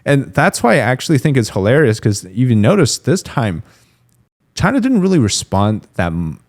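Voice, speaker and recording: A man's voice: mid-range voice, big pitch swings, moderate pace. A man as an interview guest on a podcast, explaining politics in a thoughtful, analytical way with many fillers and restarts. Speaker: guest commentator.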